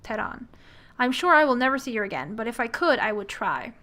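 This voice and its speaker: A bitter, wistful newspaper man from a text adventure game, voiced by a woman reading aloud. He speaks dry and curt. The voice sits a little high and stays close to everyday speech. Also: big pitch swings, a little fast, sharp tone. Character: bitter middle-aged male newspaper man.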